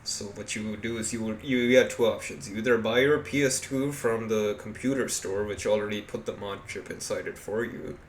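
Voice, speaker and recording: A man's voice: lowish voice, brisk, hardly any pauses. A man from Pakistan co-hosting a casual podcast, explaining things in a blunt way with plenty of swearing. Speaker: podcast co-host. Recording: echoey room with background noise.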